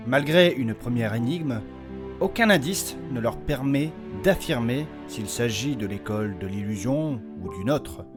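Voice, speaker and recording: A man's voice: medium pitch, expressive, a little slow, natural voice. A man narrating a fantasy audio saga in a solemn, dramatic scripted storyteller style. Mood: solemn suspense. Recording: music behind the voice.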